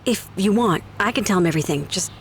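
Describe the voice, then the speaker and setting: An actress playing an earnest young dental office worker, polite and pleading. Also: female voice, mid-range voice, lively intonation, fast talker, slight background noise, close to an everyday voice.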